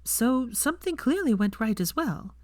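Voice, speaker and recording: A woman's voice: on the high side, very animated, normal speed, darkish tone, no room sound, studio quality, voice pushed up. A woman voicing a jaded young witch teacher in a story reading, blunt and darkly sardonic.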